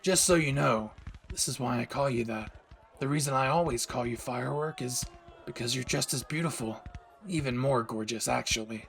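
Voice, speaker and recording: Man acting a cocky young hero boyfriend, gravelly. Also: medium pitch, normal speed, fairly bright tone. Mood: tender, admiring. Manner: teasing, affectionate, swears casually. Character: brash, cocky young man, a tender fiery superhero boyfriend. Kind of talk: intimate romantic audio roleplay.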